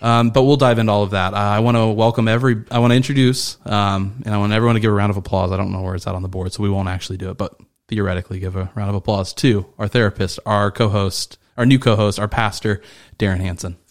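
Mock-serious low-voiced podcast co-host. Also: male voice, fairly even intonation, fast. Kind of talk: comedic podcast banter.

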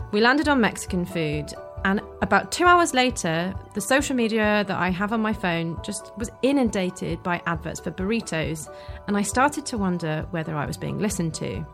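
A British woman presents as a podcast host in scripted narration. Her voice sits at a middling pitch for a woman, with light music underneath. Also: lively intonation, normal speed, a bit bright.

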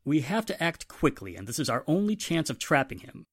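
Animated police inspector played by a man. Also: fairly high pitch, a little fast, husky, dry recording, full character voice. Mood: grave, firm. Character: earnest, take-charge male police inspector. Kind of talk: 1940s radio drama acting.

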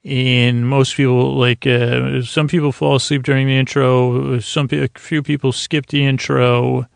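A man hosting a podcast, rambling in a halting, meandering, self-deprecating way with a gravelly voice, as a bedtime monologue. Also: mid-range voice, flat delivery, dry recording. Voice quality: gravelly, creaky. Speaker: podcast host.

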